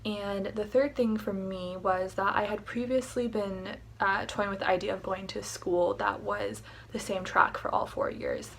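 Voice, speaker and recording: A woman's voice: medium pitch, steady tone, a little slow, gravelly, few pauses, a bit bright, slight background noise. Thoughtful young woman, mid voice. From Michigan, US. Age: young adult. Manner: reflective, thoughtful, uses fillers. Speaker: interviewee, recent graduate. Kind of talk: casual remote interview.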